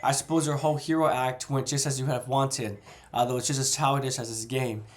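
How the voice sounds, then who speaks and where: A man's voice: medium pitch, steady tone, brisk, natural voice. A man with a cold reading aloud the mocking lines of a contemptuous male gang leader. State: congested from a cold.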